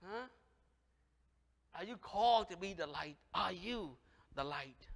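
A man in his thirties with a Cambodian accent, preaching a lively sermon with big swings from loud calls to soft words. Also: sits high, slow pace, gravelly. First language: Khmer. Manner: animated, gesturing, swings from loud exclamation to soft. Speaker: pastor. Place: church sanctuary, large, indoors. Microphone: headset. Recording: long hall reverb.

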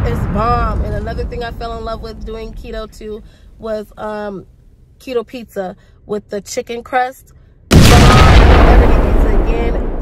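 A woman's voice: a little high, background noise. A young woman with a congested, sick-sounding voice, chatting to her phone camera from inside a parked car in a rambling, casual vlog. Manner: chatty, rambling, lively slang. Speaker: vlogger. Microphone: phone camera at arm's length.

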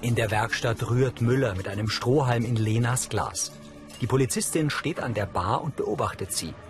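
A man reading scripted audio description narration in a neutral, measured way. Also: medium pitch, music in the background.

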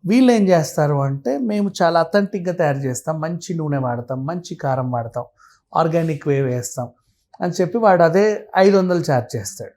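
A man's voice: on the high side, animated, brisk, darkish tone. A man with a Telugu accent talking casually and explaining things through everyday examples and lists, mixing in English words.

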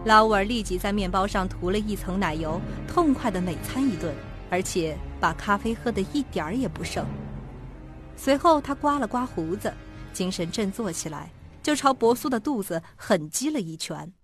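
A woman's voice: mid-range voice, animated, normal speed, lightly acted. A woman reading scripted dramatic narration for a suspense radio play. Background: music behind the voice.

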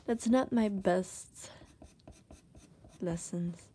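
Soft, hesitant Dutch woman. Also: mid-range voice, very slow, slight background noise. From Netherlands. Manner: hesitant, searching for words, many fillers; soft-spoken, often drops to a whisper. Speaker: art tutor. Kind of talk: casual drawing tutorial while working. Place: desk, indoors.

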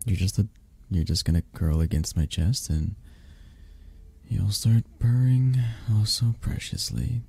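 Very deep, mellow, tender dragon boyfriend played by a man. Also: animated, creaky, breathy edge, full character voice. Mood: teasing, affectionate. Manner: soft, teasing, reassuring, hesitant pauses. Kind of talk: intimate ASMR roleplay. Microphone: close, dry microphone.

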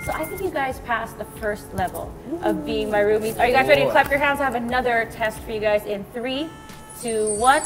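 A woman's voice: on the high side, a little fast, music in the background. A woman hosting a playful casual chat on a decorated studio set, bubbly and giggly. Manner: bubbly, giggly, switches into Filipino words. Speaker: host.

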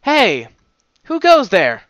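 A man voicing a hostile young female pony guard who shouts and sneers. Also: very high voice, wildly swooping pitch, slow, dry recording, studio quality, voice pushed up, full character voice. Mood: alarmed.

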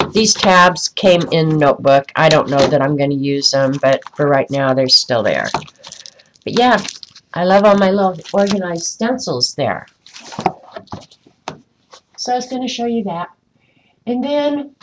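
A woman's voice: on the low side, expressive, a little slow, long pauses, background noise. A woman with a stuffy, congested voice chatting casually to viewers on a live craft stream, rambling with many ums. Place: indoors at a craft desk.

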